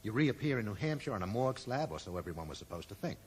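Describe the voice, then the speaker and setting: An actor playing a dry, sarcastic amateur sleuth who probes and accuses in a 1980s radio drama. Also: male voice, lowish voice, very animated, rapid-fire. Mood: dry, accusing. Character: sardonic, probing male amateur sleuth confronting an old friend. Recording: echoey, noisy old radio broadcast recording.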